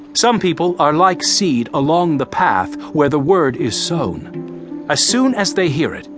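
An actor playing an impassioned male teacher preaching parables in a dramatized audio Bible, with declamatory, deliberate phrasing. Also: on the high side. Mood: earnest, explaining.

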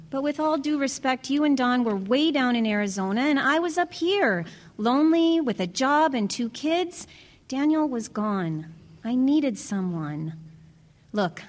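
An exasperated young woman in a radio play. She is blunt and sarcastic, with a highish, very expressive voice. The sound has a long reverb around it. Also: a little slow. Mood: earnest, defensive. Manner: outspoken, sarcastic. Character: exasperated, blunt pregnant daughter-in-law, young mother of two. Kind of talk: radio drama acting.

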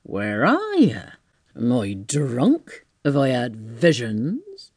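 A man's voice: on the high side, wildly swooping pitch, slow pace, dry recording, studio quality, heavily acted. A man voicing a scruffy, bewildered tramp in an audiobook, muttering and exclaiming in slang. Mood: alarmed, indignant. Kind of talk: audiobook character voice.

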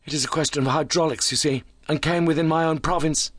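A man voicing an overwrought but plucky young injured engineer in an audiobook, excitable, earnest and well-mannered. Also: fairly high pitch, quick pace, no room sound, studio quality, voice pushed up. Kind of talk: audiobook character voice.

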